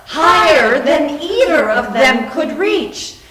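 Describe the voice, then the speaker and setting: A woman's voice: high voice, animated, takes it slowly, crowd noise. A woman performing dramatic scripted narration for a readers theater. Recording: echoey room.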